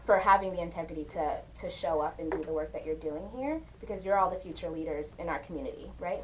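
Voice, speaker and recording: A woman's voice: fairly low pitch, far from the mic. A young woman from Uganda working as a counselor, giving an encouraging informal talk to a class of young people and often checking in with "right?". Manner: encouraging, asks the group questions, frequent "right?". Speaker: counselor and guest speaker. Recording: noisy room recording.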